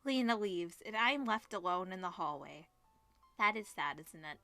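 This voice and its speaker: A woman's voice: fairly low pitch, animated, normal speed, pushed and bright, no echo, soft background music. A woman reading visual novel text aloud as host, dropping in informal asides and reactions. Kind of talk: reading game text aloud with casual commentary.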